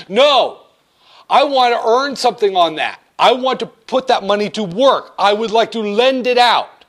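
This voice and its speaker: Male econ lecturer, high and very animated delivery, jokey, acts out examples. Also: a little slow. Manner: playful, acts out banker-customer dialogues with jokes. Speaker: economics lecturer. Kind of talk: classroom lecture.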